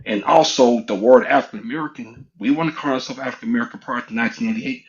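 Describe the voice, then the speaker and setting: A man's voice: medium pitch, fast, lo-fi sound. A middle-aged man speaking up in an audio room, arguing assertively in a rambling, repetitive way. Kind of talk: casual heated argument.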